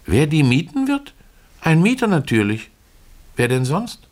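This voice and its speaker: Blunt female housekeeper, played by a male reader, raised pitch, emphatic, stubborn, storybook servant. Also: huge pitch swings, a little slow. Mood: insistent. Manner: insistent, emphatic.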